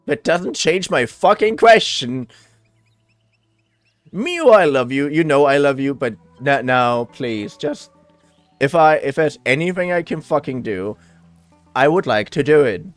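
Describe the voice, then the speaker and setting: A man's voice: fairly high pitch, animated, unhurried, dry recording, soft background music, pitched above the performer's own voice. A man voicing a desperate, guilt-ridden game character who pleads emphatically and swears. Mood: desperate, pleading.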